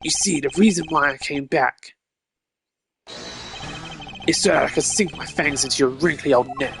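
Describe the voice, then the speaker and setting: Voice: medium pitch, normal speed, creaky, a bit bright, faint music behind. A performer voicing a defiant, confident male investigator with a blunt, confrontational way of talking in a detective game. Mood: menacing. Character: gruff, defiant male agent, taunting and confident.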